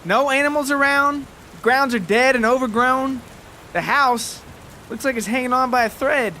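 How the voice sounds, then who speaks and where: A man voicing a gentle, protective farm mother, soft-spoken and tender but firm. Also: extremely high pitch, normal speed, a little hiss or hum, voice pushed up. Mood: disapproving.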